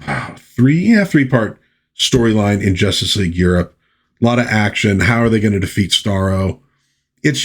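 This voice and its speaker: A man hosting a casual review, talking in a halting, musing way with pauses and repeated phrases. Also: lowish voice, husky. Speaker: host of a comic book review series.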